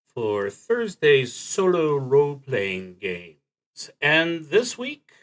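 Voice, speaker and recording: A man hosting a solo role-playing livestream, talking casually and haltingly with long pauses and a wry, self-deprecating streak. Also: a little high, very animated, fairly bright tone. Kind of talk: casual livestream talk introducing a game.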